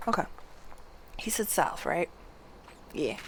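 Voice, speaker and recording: A woman streams a game at an unhurried pace. She talks casually, thinking out loud, and reads on-screen text aloud as she goes. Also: on the low side, animated, slight background noise, low-quality recording. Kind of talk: live playthrough commentary and reading quest text aloud.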